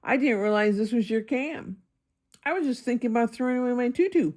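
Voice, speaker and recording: A woman's voice: a little high, fairly quick, a little mellow, pitched above the performer's own voice, lightly acted. A woman voicing a sensitive young girl monster who loves ballet, earnest in manner, in a children's picture book. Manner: instructing, earnest.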